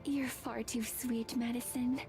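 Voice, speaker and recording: Earnest, high-voiced gentle young woman. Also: little pitch movement, a little slow, music in the background. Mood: wistful, tender. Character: Abigail, gentle young forest-bound woman, protective and anxious. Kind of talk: visual novel voice acting.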